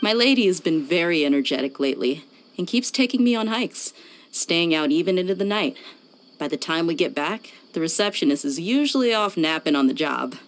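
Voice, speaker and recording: A woman's voice: lowish voice, expressive, a little fast. A woman playing a confident, laid-back female mercenary in a video game, casual and matter-of-fact. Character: easygoing, confident adult female mercenary, loyal bodyguard. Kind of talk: video game dialogue acting.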